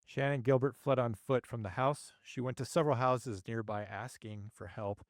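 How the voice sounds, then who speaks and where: A man presents a case analysis to an online audience in a mid-pitched voice. The sound is dry and close, and he opens his phrases emphatically before easing down at the ends. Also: fairly even intonation, moderate pace, no room sound. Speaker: host, active 911 dispatcher.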